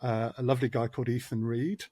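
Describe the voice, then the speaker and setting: Husky hesitant male podcast guest. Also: mid-range voice, little pitch movement, quick pace, warm tone, no room sound. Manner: hesitant, restarts often, dry humour. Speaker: audio drama producer, guest. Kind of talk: casual podcast chat.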